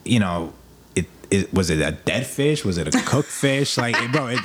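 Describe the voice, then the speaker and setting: A man who is a guest on a podcast, telling animated stories in casual slang with many restarts. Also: lowish voice, moderate pace, noisy background.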